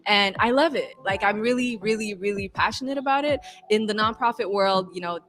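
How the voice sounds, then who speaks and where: A woman who grew up in East Africa and whose first language is not English, telling her story in an animated way and repeating points for emphasis in a casual podcast interview. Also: medium pitch, brisk. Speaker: community worker guest.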